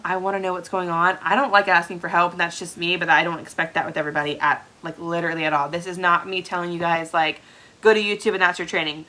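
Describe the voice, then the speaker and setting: A woman's voice: lowish voice, pushed and bright. A young woman, stuffed up from congestion, chatting casually as she trains new sellers on a live stream from home and often checking in with "okay?". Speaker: direct sales team leader. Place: home room with a product shelf.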